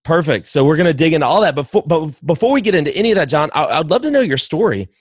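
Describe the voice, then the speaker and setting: A man's voice: a little high, very animated, rapid-fire, no room sound. An enthusiastic man hosts a podcast interview and rambles through his questions, often restarting mid-sentence. His voice is close and dry, with no room sound around it. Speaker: podcast host.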